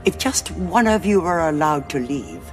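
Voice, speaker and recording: A scheming woman cardinal of a war council in an audio drama. She speaks with cold, measured authority, and her pitch rises and falls a lot. Faint score music plays beneath her. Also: very animated.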